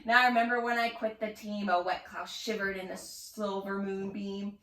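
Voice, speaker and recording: A woman's voice: medium pitch, level tone, moderate pace, fairly bright tone, a little hiss or hum. A woman expressively reading a rhyming children's story aloud. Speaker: storybook reader. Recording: echoey room, distant mic.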